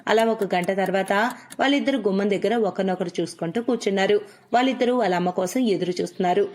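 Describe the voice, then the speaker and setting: A woman's voice: medium pitch, fast talker, a little mellow. A woman narrator reading a scripted moral story for children.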